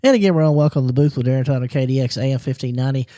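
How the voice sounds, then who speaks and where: A man hosting a local radio interview show in a small studio, asking questions haltingly with many "uh"s and repeating the station ID. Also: medium pitch, dark tone, no echo.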